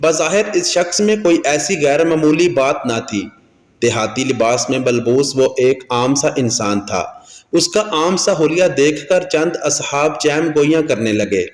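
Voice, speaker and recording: Male narrator for a moral tale, medium pitch, heavily reverberant hall-like sound. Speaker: storyteller, channel narrator. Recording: echoey with long hall reverb.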